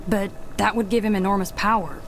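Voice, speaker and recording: A woman plays a small, sensible cartoon heroine in a game. She sounds earnest and thoughtful at a middle pitch, reasoning things through, with music underneath. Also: steady tone, fairly quick, close to an everyday voice. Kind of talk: cartoon video game acting.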